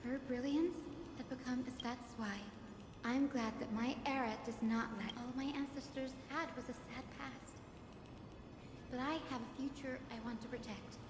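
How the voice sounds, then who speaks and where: An actress playing a gentle, earnest young woman in a video game, with a polite and sweet manner. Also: high-pitched, steady tone, normal speed, background noise, close to an everyday voice. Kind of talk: dramatic video game voice acting. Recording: echoey reverb with music behind.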